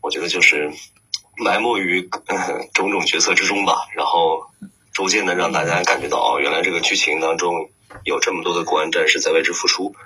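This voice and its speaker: A man's voice: sits low, fairly even intonation, a little fast, sharp tone, breathy edge, rough recording. A man working as a voice actor, giving reflective interview answers and hesitantly searching for words. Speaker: voice actor, guest.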